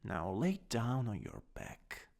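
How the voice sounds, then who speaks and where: A man with an Italian accent playing a gently dominant, doting anatomy teacher in a scripted ASMR roleplay, coaxing and instructing. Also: mid-range voice, wildly swooping pitch, slow, gravelly, exaggerated voice. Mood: coaxing, tender. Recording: long reverberant hall echo.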